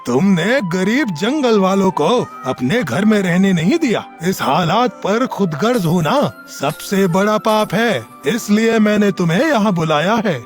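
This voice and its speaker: A man acts an earnest male character from a children's cartoon fable. His voice is unusually high for a man and moves widely up and down, with a dramatic delivery over faint music. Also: animated, measured pace. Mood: stern. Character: male pigeon father, also solemn god of death. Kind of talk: children's animated moral story acting.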